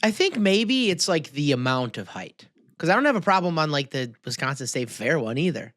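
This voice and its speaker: A man's voice: on the high side, big pitch swings, brisk, a bit bright, no echo, clean studio sound. Casual, slangy male podcast co-host. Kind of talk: casual comedic banter.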